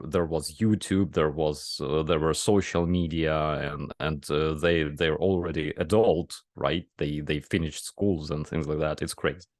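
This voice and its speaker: Ukrainian-accented man, deep voice, hesitant English, dry close sound. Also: a little mellow, no room sound. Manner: halting, searching for words in a second language. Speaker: soldier and press officer, guest. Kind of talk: casual interview chat.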